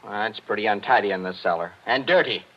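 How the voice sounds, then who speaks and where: A man's voice: on the low side, fast, close to an everyday voice. An actor playing an impatient, blunt, boyish young man in 1940s radio drama style. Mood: irritated. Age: young adult. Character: brash, impatient young man, keen on guns. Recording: muffled vintage radio recording.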